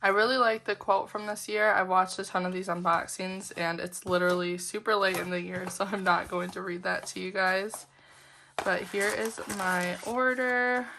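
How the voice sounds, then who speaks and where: A woman's voice: medium pitch, noisy background. A woman vlogger chatting casually through an unboxing, her voice a little off from being sick, upbeat and giggly with lots of fillers. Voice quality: slightly weird-sounding sick voice. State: voice roughened from a lingering illness. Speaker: planner vlogger.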